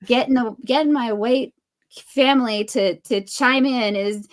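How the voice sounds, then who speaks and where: Woman podcast co-host, somewhat high voice, reflective and conversational. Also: measured pace. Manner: thoughtful, reflective, with frequent restarts. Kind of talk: casual conversation.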